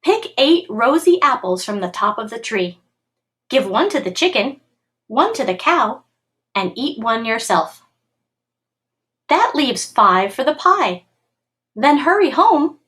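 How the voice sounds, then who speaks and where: A woman reading a picture book aloud to schoolchildren in an expressive, clearly paced storytelling way. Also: on the high side, slow, pauses often.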